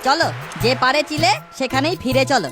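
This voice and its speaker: High-voiced grumpy boatman done by a woman. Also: fairly quick, lightly acted. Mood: grudging. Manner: brusque, scoffing, hurrying. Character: gruff, money-minded river boatman, grudgingly soft-hearted. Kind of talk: children's folktale cartoon acting. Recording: music under the voice.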